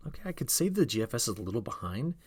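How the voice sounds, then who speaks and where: A man's voice: mid-range voice, big pitch swings, very fast, a little mellow, husky. A man giving a conversational, explanatory weather briefing as the forecaster, talking viewers through maps and checking in with short questions and okays. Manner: instructional, checks in with okay, all right and rhetorical questions.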